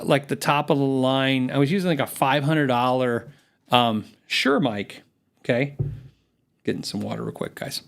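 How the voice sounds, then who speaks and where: A man hosting a gear livestream, chatting casually with viewers, rambling with many restarts and reading out viewer comments. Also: medium pitch. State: voice worn from long shows.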